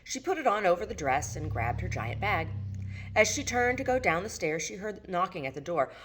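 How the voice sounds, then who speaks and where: A woman's voice: fairly low pitch, sing-song, moderate pace, a bit bright, a little hiss or hum, low-quality recording. A woman narrating her own work of fiction as an audiobook reading. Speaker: author reading own novel aloud.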